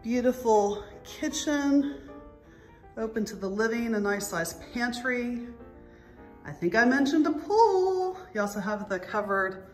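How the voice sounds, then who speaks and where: A woman's voice: fairly high pitch, expressive, measured pace, faint music behind. A middle-aged woman, a real estate agent, narrating a house walk-through on a handheld phone inside an empty home. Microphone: handheld phone behind the camera.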